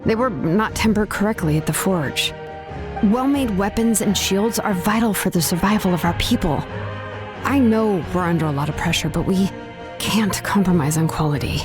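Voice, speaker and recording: A woman's voice: mid-range voice, moderate pace, music in the background, natural voice. Woman as a casual, exacting female blacksmith. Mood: frustrated, restrained. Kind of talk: video game voice acting.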